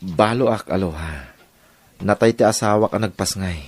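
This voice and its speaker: A man's voice: lowish voice, normal speed, creaky, no echo. A man with an Ilocano accent playing an apologetic widowed father who coaxes his small child in an audio drama. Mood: earnest.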